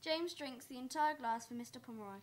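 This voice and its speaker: A woman's voice: sits high, brisk, heavy background noise. A young woman reading scripted stage narration for a radio play. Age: young adult.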